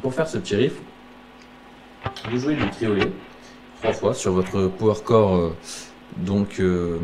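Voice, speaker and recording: Low-voiced guitar teacher with a cold. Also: male voice, slow pace, noisy background. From southern France. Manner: relaxed, chatty, explains step by step with fillers. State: has a cold, coughing. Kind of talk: casual guitar lesson.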